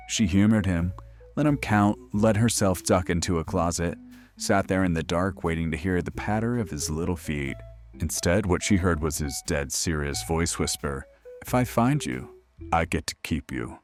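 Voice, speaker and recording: An actor playing a wry, deadpan, sardonic host of a late-night horror radio show. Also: male voice, sits low. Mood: dark, storytelling. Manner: deadpan, sarcastic.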